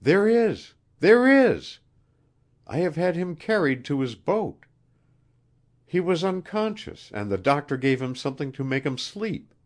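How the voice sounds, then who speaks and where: Storybook role: man as young queen, higher-pitched, halting, anxious, pleading, with some royal command. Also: expressive, close to an everyday voice. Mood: earnest, pleading.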